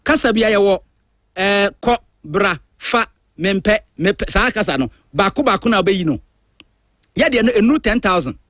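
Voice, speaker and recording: A Ghanaian man hosts a drive-time radio show, his voice pitched high for a man. He argues his points with emphatic, animated energy, moving freely between languages. The studio sound is dry and close, with no room around it. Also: normal speed, dry recording. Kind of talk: opinionated talk-radio monologue.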